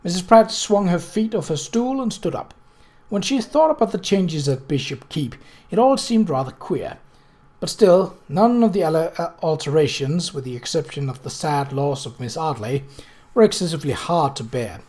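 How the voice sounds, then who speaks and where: A narrator reading a novel aloud in a measured storytelling manner. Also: mid-range voice, animated, darkish tone. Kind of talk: scripted audiobook narration.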